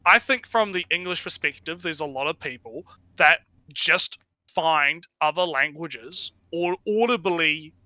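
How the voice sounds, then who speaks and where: Bright, crisp-voiced rambling man. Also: on the high side, lively intonation, measured pace, no echo, low-quality recording. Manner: rambling, repeats words, swears casually. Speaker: reaction video host. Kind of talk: casual opinion chat.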